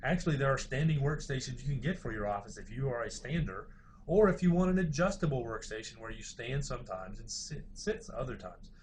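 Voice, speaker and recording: A man's voice: mid-range voice, expressive, brisk, darkish tone, slight background noise. A man giving an informal university lecture, explaining things in a hesitant, hedging way.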